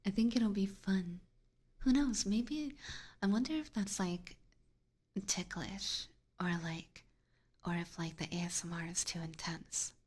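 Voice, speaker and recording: A woman doing casual ASMR chat in a soft, breathy whisper close to a binaural mic, informal and hesitant, chatting to viewers. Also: mid-range voice, unhurried. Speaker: ASMR streamer and host. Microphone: close binaural ear microphone.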